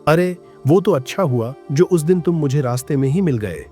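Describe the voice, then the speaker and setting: A man voicing a kindly, fair-minded wealthy merchant, measured and considerate, in a children's cartoon. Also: on the high side, lively intonation, a little mellow, faint music behind, natural voice. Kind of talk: Hindi children's moral-story cartoon dub.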